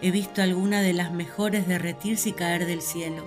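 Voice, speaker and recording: A woman's voice: lowish voice, fairly even intonation, moderate pace, music in the background, close to an everyday voice. A woman playing Eve, an earnest, talkative young first woman, telling her story in a radio play. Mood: wistful. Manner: earnest, wistful, storytelling to the listener.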